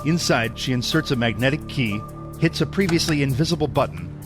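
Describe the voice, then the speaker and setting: A male narrator reads scripted audio drama in a mid-pitched voice. Music plays clearly behind his storytelling. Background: background music.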